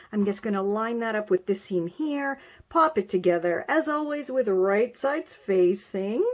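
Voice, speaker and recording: Middle-aged woman, craft-tutorial host: high-ish voice, bubbly and excitable, stuffy with a cold. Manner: bubbly, excitable, laughs often. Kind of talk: chatty step-by-step craft tutorial.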